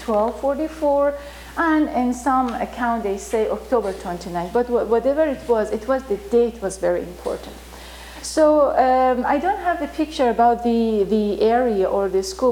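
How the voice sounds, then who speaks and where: A woman from Iran whose first language is Turkish tells a story in an informal talk, halting with many fillers. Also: a little high, a little mellow, rough recording. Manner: halting, many fillers, vivid retelling with acted quotes. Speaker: storyteller teaching about Rumi.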